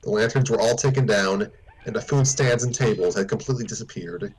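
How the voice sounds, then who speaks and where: A man's voice: lowish voice, fairly quick, husky, low-quality recording. A man reading a story aloud informally, now and then stumbling and repeating words. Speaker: story reader on a stream.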